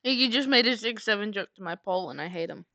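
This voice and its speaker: A woman's voice: mid-range voice, lively intonation, quick pace, bright, forceful tone, no echo. A woman livestreamer chatting casually and rambling, half muttering to herself, close to a streaming mic. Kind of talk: casual livestream chat while drawing.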